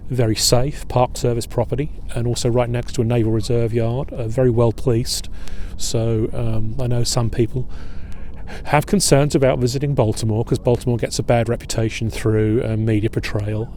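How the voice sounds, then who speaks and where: A middle-aged man leading an outdoor history tour at a waterfront fort. He talks in a relaxed, halting way with many filler pauses. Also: medium pitch, a little hiss or hum. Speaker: historian and battlefield guide.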